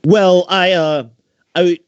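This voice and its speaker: A man's voice: high-pitched, fairly even intonation, takes it slowly, smooth, voice pushed up. A man voicing a bashful, indignant ten-year-old catgirl little sister in a fanfiction reading. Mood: flustered. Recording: dull, roomy home microphone recording.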